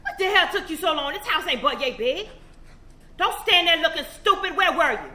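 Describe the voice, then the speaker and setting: A harsh, scornful mother who snaps and scolds, as a woman plays her, with a high, lively, sharp voice. Her words bounce around an echoey room. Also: moderate pace, slight background noise, heavily acted. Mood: raging. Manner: scolding, sharp Southern slang. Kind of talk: stage play acting.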